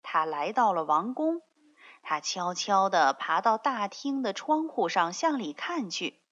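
A woman reading a scripted story for small children in an expressive, drawn-out way. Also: medium pitch, highs cut off.

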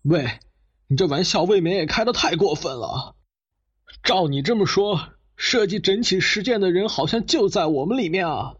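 A man's voice: high voice, expressive, normal speed, husky. A man acting a defensive, indignant suspect in a mystery radio drama, protesting in an agitated way. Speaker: voice actor in an amateur audio drama. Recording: slightly dull, muffled recording.

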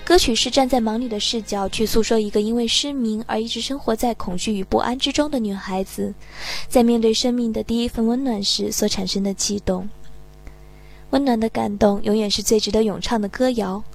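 A woman's voice: a little high, fairly even intonation, brisk, vocal fry. A woman speaking Chinese, presenting scripted narration as a radio host. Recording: music playing behind the voice. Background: background music.

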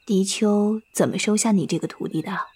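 A woman's voice: mid-range voice, moderate pace, a little mellow, breathy edge. A woman playing a grave, sorrowful older Buddhist nun master, solemn and emotional, in a Chinese period audio drama. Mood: wistful, grave.

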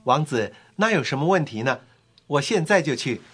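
A male actor playing a small, kindhearted, loyal swallow in a fairy-tale drama, speaking in an earnest, soft-hearted way. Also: on the high side, very animated, moderate pace. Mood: devoted, willing. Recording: faint music bed. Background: faint music.

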